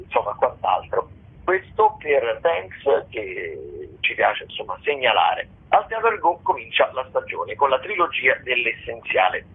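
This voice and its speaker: Male radio host, creaky and husky, halting as he runs through theatre listings, slightly muffled broadcast sound. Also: medium pitch. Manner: hesitant, halting delivery with self-corrections. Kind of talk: semi-improvised radio arts listings. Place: radio studio.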